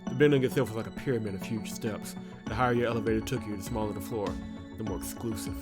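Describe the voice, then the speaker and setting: A man's voice: fairly low pitch, fairly even intonation, fast. A man hosting a visual novel playthrough, reading the narration aloud and reacting casually. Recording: loud game music behind voice. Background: game music.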